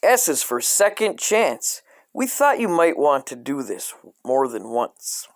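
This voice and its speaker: Deadpan man reading with lively swings. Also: medium pitch, slow, no echo. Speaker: host reading a coloring book aloud. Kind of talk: comic deadpan reading with profane asides.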